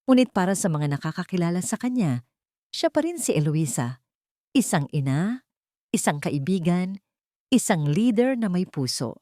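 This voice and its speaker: A woman's voice: on the low side, very animated, normal speed, long pauses, warm tone, no room sound, studio quality. A woman with a Filipino accent reading a scripted, dramatic love story as its narrator.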